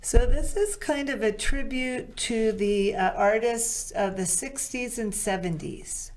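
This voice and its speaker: Woman with a low soulful voice. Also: slow pace, darkish tone, rough recording. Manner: rambling, restarts and backtracks. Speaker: singer guest. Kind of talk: casual interview chat.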